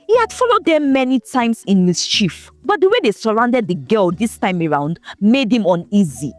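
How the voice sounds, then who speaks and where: Woman, folktale narrator, expressive, dramatic, animated pitch, faint background music. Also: moderate pace. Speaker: storyteller.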